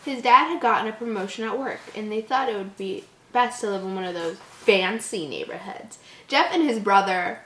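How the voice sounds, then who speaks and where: A woman's voice: medium pitch, normal speed, a bit bright, slight background noise. A woman hosting a channel, reading a horror story aloud with giggly, stumbling asides. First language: English. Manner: giggly, stumbles over words. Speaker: channel host. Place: echoey indoor room. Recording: echoey room.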